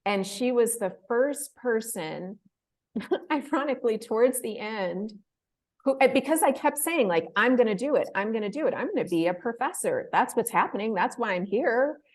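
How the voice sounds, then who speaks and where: A woman in her thirties, a guest in an interview, telling her story in a casual, animated way, laughing often and acting out the voices of people she quotes. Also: a little high, normal speed. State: emotional, close to tears. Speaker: interview guest, fellowship winner.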